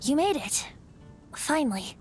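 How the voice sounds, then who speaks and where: A woman's voice: sits high, big pitch swings, unhurried, a little mellow. A woman voicing a playful, boyish wind spirit bard in a video game, with a lilting, theatrical storytelling delivery. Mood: playful, sheepish. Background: background music.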